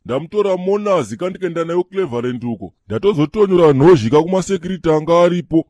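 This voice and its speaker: A man's voice: a little high, animated, fast talker, warm tone, dry recording, clean studio sound. A man voicing an insolent Zimbabwean street hustler, cocky, slangy and argumentative, in a comedy cartoon. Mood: insolent, rambling.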